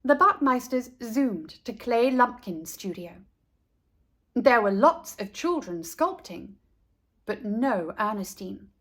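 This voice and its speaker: High-pitched, animated woman storyteller. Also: takes it slowly, slightly rough. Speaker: children's book reader. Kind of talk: animated storybook narration for kids.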